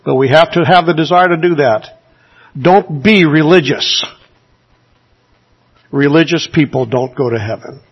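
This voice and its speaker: A man's voice: a little high, unhurried, long pauses, breathy edge, lo-fi sound. A man preaching a sermon in an earnest, exhorting way, asking his listeners rhetorical questions.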